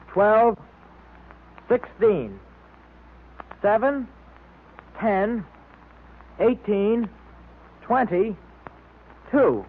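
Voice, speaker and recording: A performer playing an eager, earnest boy club leader on a vintage radio show, speaking deliberately. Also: sits high, slight background noise, heavily acted. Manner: deliberate, reads out codes word by word. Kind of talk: 1930s radio club announcements. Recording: tinny old radio recording.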